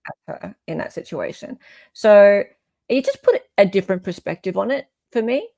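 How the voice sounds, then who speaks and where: A woman's voice: medium pitch, very animated, normal speed, creaky, warm tone, no echo. An Australian woman hosting a live stream in casual, opinionated chat, often checking for agreement as she goes.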